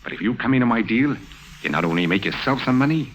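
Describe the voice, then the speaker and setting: An actor playing a ruthless, smugly scheming racketeer, commanding and smooth-talking, in a 1950s radio crime drama. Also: male voice, medium pitch, lively intonation, fast, fairly bright tone, natural voice, rough recording. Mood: persuasive.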